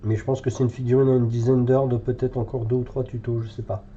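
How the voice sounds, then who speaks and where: A man's voice: mid-range voice, little pitch movement, quick pace, dark tone, lo-fi sound, slight background noise. A French man with a cold, thinking aloud with many fillers as he paints live in a hobby tutorial. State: has a cold, congested.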